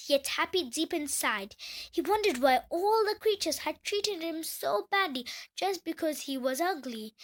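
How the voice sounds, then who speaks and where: A woman reads a children's tale aloud. Her voice sits high, and she takes the narration slowly, as in a read-along for young listeners. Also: fairly bright tone. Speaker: children's story reader.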